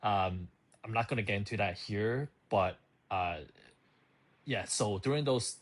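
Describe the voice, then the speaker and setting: A man in his thirties talks straight to the camera about his own life, his voice sitting a little low. He is from Hong Kong. His delivery is loose and easygoing, full of fillers, restarts and small asides. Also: measured pace. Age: 30s. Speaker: channel host. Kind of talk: talking to camera, casual personal story.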